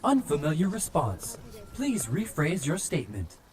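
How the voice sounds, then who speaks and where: Stiff, high-pitched android policeman. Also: wildly swooping pitch, measured pace, darkish tone. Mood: stiff, official. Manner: stilted, emotionless official phrasing. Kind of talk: audiobook character voice.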